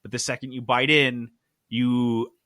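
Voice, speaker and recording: A man's voice: medium pitch, little pitch movement, a little slow, bright, forceful tone, dry recording, studio quality. A man hosting a casual podcast chat, talking informally and jokily with plenty of slang. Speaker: podcast host. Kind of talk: casual chat about games and candy.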